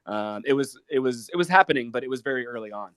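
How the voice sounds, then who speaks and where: A man with an American accent chatting casually as a musician guest, in an earnest, halting, appreciative way. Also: medium pitch, animated, fairly bright tone, clear, resonant tone, dry recording, studio quality. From Ohio, United States. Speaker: guest musician, guitarist and pop artist. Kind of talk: casual live interview chat.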